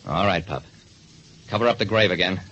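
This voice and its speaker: A man's voice: deep voice, a little fast, very noisy. A man with an American accent giving dramatic, hard-boiled narration as a detective in old-time radio style. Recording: dull, band-limited recording.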